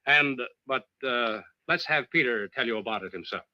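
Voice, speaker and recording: An actor playing a genial seafaring adventurer introducing radio tales with an American accent, in old-time host style. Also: male voice, medium pitch, expressive, normal speed, no room sound, lightly acted. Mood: genial, earnest. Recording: muffled old radio broadcast with faint music.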